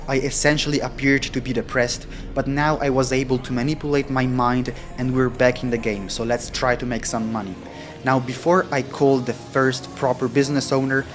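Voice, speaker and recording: A man with a mid-pitched voice talks in a casual, candid vlog style. He is a non-native English speaker whose stronger languages are Italian and German, with music behind him. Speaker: vlogger practicing sales cold calls.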